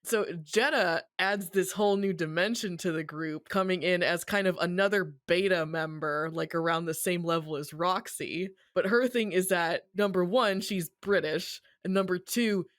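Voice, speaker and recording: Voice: mid-range voice, moderate pace, few pauses, a bit bright, no room sound, clean studio sound. A podcast co-host giving a casual, colloquial story recap peppered with swearing.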